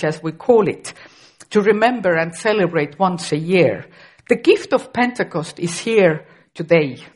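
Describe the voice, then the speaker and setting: A middle-aged woman from Estonia, a native Estonian speaker with an Estonian accent, preaching a sermon carefully and with occasional restarts. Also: on the low side.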